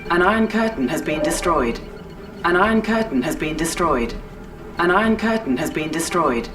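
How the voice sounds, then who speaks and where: A woman's voice: deep voice, lively intonation, normal speed, background noise. A woman playing a composed military computer announcer in a game, giving clipped, formal status reports in a matter-of-fact way. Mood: composed, alert. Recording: distant, under very loud game music.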